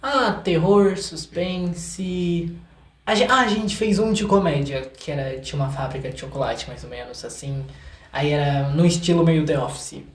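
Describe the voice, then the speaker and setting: A man's voice: fairly high pitch, moderate pace. Young Brazilian man in a roomy echo. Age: young adult. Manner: informal, repeats words for emphasis. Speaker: interview guest, video maker and film student. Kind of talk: casual chat. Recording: roomy echoing sound.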